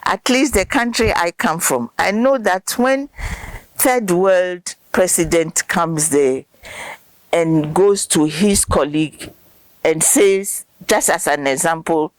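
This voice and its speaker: A woman's voice: lowish voice, takes it slowly, no echo. An older woman, a studio guest speaking earnestly and repeating words for emphasis. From Ghana. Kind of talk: impassioned interview answers.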